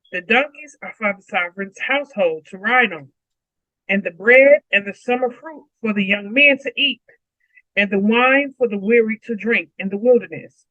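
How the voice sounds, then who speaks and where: A woman's voice: on the high side, bright, forceful tone, dry recording. A woman co-hosting a Bible read-along, speaking informally in casual chat and reading aloud, on a muffled home stream mic.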